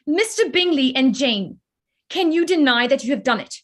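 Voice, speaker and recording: A woman playing a proud, sharp-tongued young Regency gentlewoman in a staged reading, speaking in pointed, formal phrases. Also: high voice, lively intonation, normal speed. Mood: angry, accusing. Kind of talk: staged reading of a period drama.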